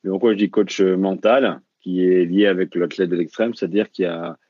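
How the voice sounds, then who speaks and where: French guy on a dry dull call, lowish voice, keeps restarting and saying euh. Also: steady tone, a little fast, slightly rough, dry recording. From France. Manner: hesitant, many restarts and fillers. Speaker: guest, ultra-distance runner and coach. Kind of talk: casual interview.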